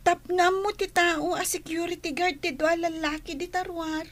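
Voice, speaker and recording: Radio drama, woman playing a timid girl, very high, soft and pleading. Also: level tone, moderate pace. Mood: worried. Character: timid young daughter, fearful.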